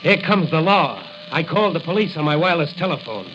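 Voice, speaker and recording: An actor playing a righteous crime-fighting hero in a declamatory, commanding 1940s radio style. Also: male voice, on the high side, sing-song, a little fast, a bit bright. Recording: hissy, dull 1940 radio recording.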